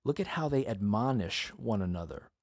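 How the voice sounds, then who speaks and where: A man's voice: fairly low pitch, animated, moderate pace, darkish tone. Man giving emphatic Bible teaching. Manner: emphatic, with rhetorical questions and repeated appeals. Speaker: podcast host teaching the Bible. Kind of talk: earnest Bible teaching monologue for a podcast.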